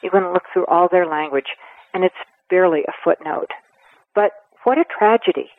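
A woman in her seventies giving a radio interview, talking earnestly and with emphasis, pausing to weigh her words. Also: lowish voice, no room sound. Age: 70s. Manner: earnest and emphatic, with measured pauses. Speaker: conservation advocate guest. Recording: tinny phone line, dry.

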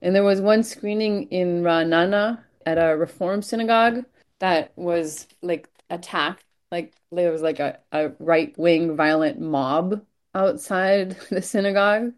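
A woman's voice: fairly low pitch, a little slow. Earnest woman organizer, lots of "like". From Israel. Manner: earnest, hedging with frequent "like". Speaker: event organizer. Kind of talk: casual interview answers.

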